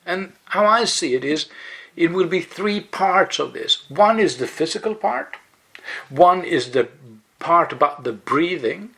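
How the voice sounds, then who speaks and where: A man's voice: a little high, a bit bright, husky. A man with a Swedish accent, a native Swedish speaker, explaining things carefully in English with fillers and restarts as the host of his own web series. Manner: deliberate, careful in second language, with fillers and restarts. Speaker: yoga webpage host. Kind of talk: explanatory monologue.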